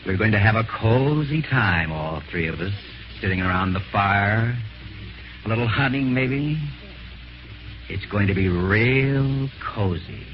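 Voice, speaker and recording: A man playing a scheming, sardonic husband in an old-time radio mystery, smooth, deliberate and falsely genial. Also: lowish voice, lively intonation. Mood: mocking, menacing. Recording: muffled vintage radio, music behind.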